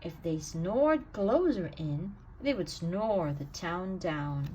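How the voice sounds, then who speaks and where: A woman reading a rhyming children's bedtime story aloud in an expressive, sing-song way with emphatic stresses. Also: on the low side, slow pace, a little hiss or hum, poor audio. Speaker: storybook reader.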